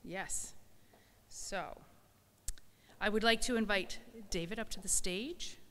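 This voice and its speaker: A woman's voice: mid-range voice, slow pace, fairly bright tone. A woman hosting a live event, making informal announcements in a hesitant, improvised way. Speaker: event host and presenter. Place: large indoor event space, live on stage. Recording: echoey hall, live event PA.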